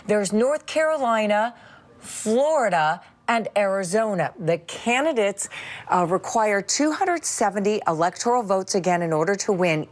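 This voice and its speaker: A woman with an American accent explaining the news in a live television report. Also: medium pitch.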